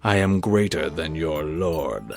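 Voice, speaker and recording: A man's voice: extremely low pitch, lively intonation, takes it slowly, gravelly, a little mellow, soft background music, heavily acted. A man playing an obsessed, arrogant scientist in a grandiose, theatrical amateur audio drama. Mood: grandiose, exultant. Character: obsessed, arrogant scientist who creates a monster.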